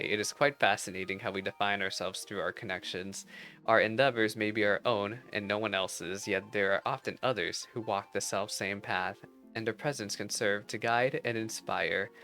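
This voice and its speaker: A man's voice: fairly low pitch, fairly even intonation, pushed and bright, soft background music. A man reading aloud the formal, poetic game lines of a courteous, philosophical wandering minstrel. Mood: reflective, welcoming. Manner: formal, measured, lyrical wording.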